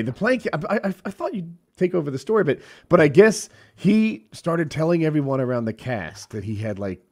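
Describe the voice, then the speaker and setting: A man's voice: medium pitch, darkish tone. A man co-hosting a podcast who rambles through a story, correcting himself and laughing at his own mix-ups. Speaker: podcast co-host. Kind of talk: casual chat.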